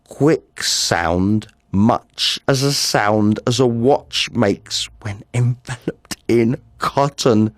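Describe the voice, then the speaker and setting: A man's voice: on the low side, dry recording, studio quality. A man playing an unhinged, cunning murderer confessing in a theatrical reading of a horror story, with dramatic swings in delivery. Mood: uneasy, mounting dread.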